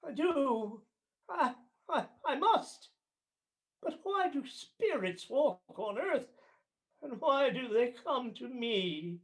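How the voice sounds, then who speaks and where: A crotchety, skeptical old miser of a man in a radio drama. The pitch sits fairly high and swoops widely. The delivery is slow, halting and theatrical. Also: animated, full character voice. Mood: frightened. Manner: halting, theatrical, dismissive turning to pleading. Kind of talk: theatrical radio play acting.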